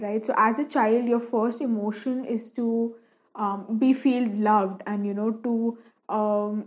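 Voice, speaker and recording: A young woman whose first language is Malayalam chats casually while painting, with a relaxed, talkative manner and frequent fillers. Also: fairly high pitch. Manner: chatty, many fillers and restarts. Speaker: painting vlogger. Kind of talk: casual chat over a painting session.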